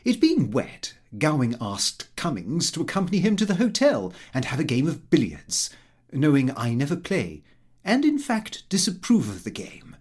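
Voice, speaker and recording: A man reading a comic first-person diary as an audiobook, in a prim, expressive, self-important manner. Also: on the high side, moderate pace, slightly rough. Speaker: audiobook narrator.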